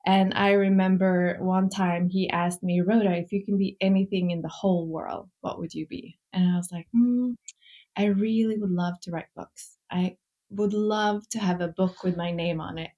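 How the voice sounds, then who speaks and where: A woman's voice: on the low side, moderate pace, dull audio. A woman in her forties, raised in Norway, a children's book author chatting as a podcast guest and laughing easily. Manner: warm, laughs often, quotes family in lively voices. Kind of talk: casual conversation.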